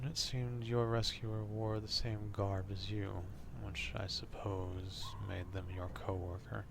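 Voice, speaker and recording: A man playing an obsessive, old-fashioned vampire stalker in an ASMR roleplay, speaking formally in a hushed half-whisper, close to the microphone. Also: fairly low pitch, background noise. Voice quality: hushed, breathy half-whisper with gravelly fry. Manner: slow, deliberate, formal archaic wording.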